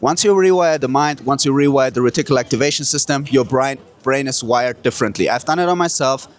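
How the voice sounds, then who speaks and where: A man's voice: mid-range voice, fast talker. A man from Kurdistan who hosts the show as a hypnotist, talking to camera with boastful bragging and profane street slang. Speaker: hypnotist and host. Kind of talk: brash motivational talk to camera.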